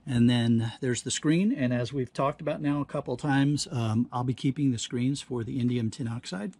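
A man giving casual hands-on demonstration commentary, halting and thinking aloud as he works at a workbench. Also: mid-range voice, fairly even intonation, mellow, no room sound. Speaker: host of a scrapping how-to channel. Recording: clear background noise, handling clicks.

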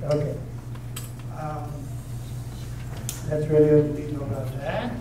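A man's voice: a little high, takes it slowly. Casual stage host, male, keeps checking in with the audience; recorded far off in a noisy, very echoey hall. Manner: chatty, checks in with frequent "okay?". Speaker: host introducing a readers theater show. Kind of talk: casual talk to a live audience. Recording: echoey room, distant mic, noisy.

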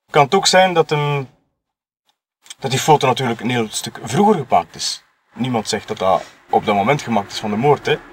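A man with a Flemish accent playing a chatty, self-excusing witness in a murder-mystery audio drama, talking colloquially and haltingly. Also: mid-range voice, lightly acted. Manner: colloquial, halting, chuckling between phrases. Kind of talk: improvised-sounding audio drama dialogue.

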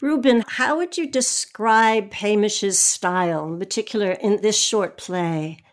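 A woman hosting an interview, putting measured questions to her guests. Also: medium pitch. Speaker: interview host. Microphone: remote recording.

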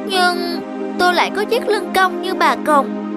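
A woman's voice: very high-pitched, expressive, unhurried, loud music behind the voice. A woman voicing an insecure, self-pitying female shrimp in a children's cartoon. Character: insecure, mopey female shrimp with hunched back.

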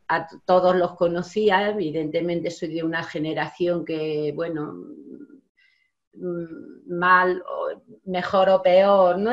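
A woman in her sixties, a teacher, talking in a rambling way full of fillers and tag questions in a casual video-call interview. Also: lowish voice. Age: 60s. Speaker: guest, special education teacher and union member. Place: home study with bookshelves. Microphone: laptop webcam on a video call. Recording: dull lo-fi video call audio.

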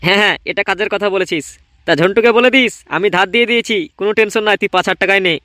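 A man's voice: sits high, rapid-fire, bright, forceful tone, no room sound. A man voicing a bossy but obliging village boss in a comedy cartoon, talking colloquially. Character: easily swayed village boss, an adult man. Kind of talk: Bengali comedy cartoon voice acting.

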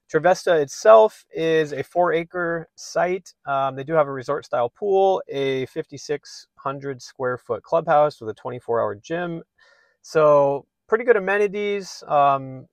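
A man in his thirties, a real estate agent, talking casually to the camera from inside a parked car, friendly and relaxed with plenty of "um"s. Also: a little high, no echo. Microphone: phone or camera held at arm's length.

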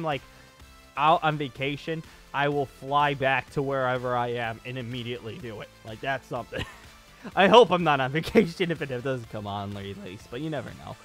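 A man's voice: medium pitch, a little fast, fairly bright tone. A man in his twenties chatting casually and informally in a rambling channel update, as a gaming YouTuber. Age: 20s. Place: small home studio room with acoustic foam. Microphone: desk microphone on boom arm. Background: clear background music.

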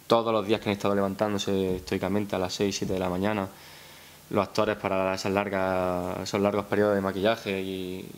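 A young man with a Spanish accent from Spain, speaking unscripted at a press conference and hesitantly repeating words as he goes. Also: fairly low pitch. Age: young adult. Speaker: film director. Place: indoor press conference room. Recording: hissy press-room recording.

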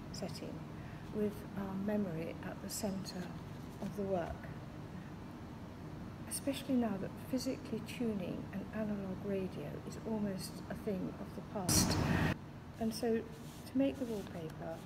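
A woman in her fifties, an artist, calmly explaining her work while working in a print studio. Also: medium pitch. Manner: thoughtful, unhurried, with fillers. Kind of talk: casual explanation of her artwork. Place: large print studio with skylights. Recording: loud studio noise, radio behind.

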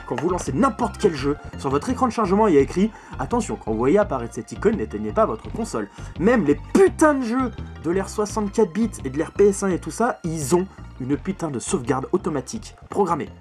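A man's voice: a little high, big pitch swings, quick pace, darkish tone, soft background music. A man giving a casual video game review, opinionated and emphatic, often repeating words and swearing. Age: 20s. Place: small bedroom at home, indoors.

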